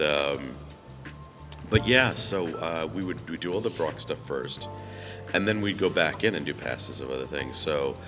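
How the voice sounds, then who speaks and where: A middle-aged male voice actor with a slightly hoarse, froggy voice, rambling in a relaxed, self-deprecating way at a convention Q&A. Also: rumbling low. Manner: rambling and self-deprecating, with frequent restarts and fillers. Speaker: voice actor guest at a fan convention.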